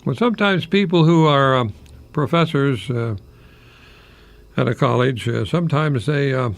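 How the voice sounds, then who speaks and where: An older American man, a retired professor, giving a folksy, rambling radio monologue in a gravelly, husky voice with pauses mid-phrase. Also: medium pitch. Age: older, retired, 60s or above. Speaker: retired English professor hosting a radio program.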